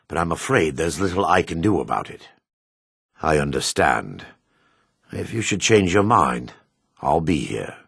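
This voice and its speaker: Very deep, husky dark elf priest. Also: male voice, dry recording, clean studio sound, exaggerated voice. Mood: grave, concerned. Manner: formal, measured, grave phrasing. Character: solemn male dark elf priest, humble, remorseful, earnest. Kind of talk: video game character dialogue.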